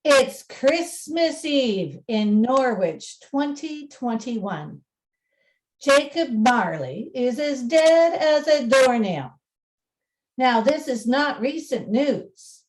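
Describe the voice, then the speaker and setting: Woman narrator telling a story, high pitched, takes her time. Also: animated, extremely slow, a little mellow.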